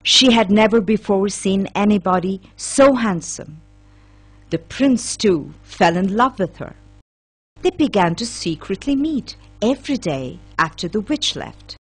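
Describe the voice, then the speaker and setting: Husky, unhurried woman storyteller. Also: medium pitch, lively intonation. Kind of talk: scripted children's fairy tale narration.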